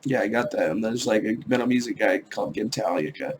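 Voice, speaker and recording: A man's voice: fairly low pitch, expressive, fast talker, a little hiss or hum. A man hosting a casual live stream, bantering loosely and swearing as he chats. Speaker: stream host. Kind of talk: casual chat.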